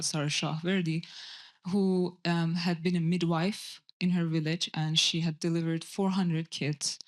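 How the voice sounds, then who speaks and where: A woman from Iran, a documentary director speaking on a festival panel, soft-spoken and hesitant with many pauses. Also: fairly low pitch. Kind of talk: panel talk.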